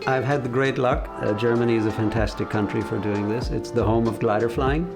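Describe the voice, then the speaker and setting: A man in his fifties speaking in a measured, reflective way with frequent hesitations, giving a documentary interview about his career as a car designer. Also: mid-range voice, fairly even intonation, creaky, over music. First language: English.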